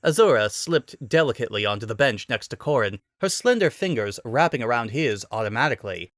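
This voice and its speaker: A man narrating a scripted story as a dramatic reading, in an expressive, wry storytelling manner. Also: medium pitch, brisk, no echo, studio quality.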